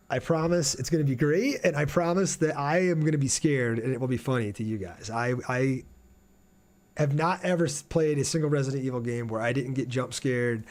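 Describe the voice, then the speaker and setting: A man's voice: mid-range voice, a little mellow. A man chatting casually and informally on a game livestream, reading out viewer comments and joking at his own expense.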